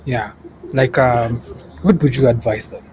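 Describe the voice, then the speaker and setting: A Kenyan man hosting a casual interview, informal and quick to interject, mixing English with Swahili slang. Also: medium pitch. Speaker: talk show host. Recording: recording with clear background noise.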